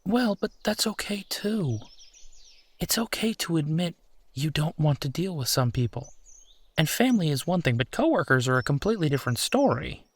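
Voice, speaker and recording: A man's voice: fairly high pitch, big pitch swings, no echo. A man playing a supportive, affectionate husband in an intimate comfort roleplay, talking in a reassuring, conversational way. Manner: reassuring, conversational, unhurried pauses. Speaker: audio roleplay performer.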